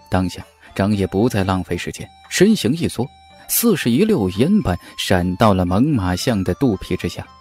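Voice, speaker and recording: A man narrating a serialized fantasy audiobook in an expressive storytelling style. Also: on the low side.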